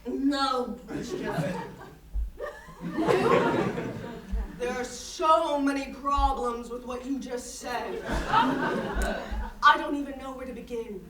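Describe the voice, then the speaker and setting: A woman's voice: sits high, steady tone, slow pace. A woman acting an intense, exasperated Chinese intellectual in a staged play reading, lecturing emphatically and with condescension. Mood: condescending, exasperated. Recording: roomy distant stage recording, faint noise.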